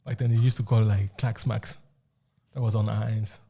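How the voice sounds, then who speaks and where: A man's voice: lowish voice, level tone, fairly quick, mellow, breathy edge. A man co-hosting a podcast, bantering casually and often repeating his phrases. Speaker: podcast co-host.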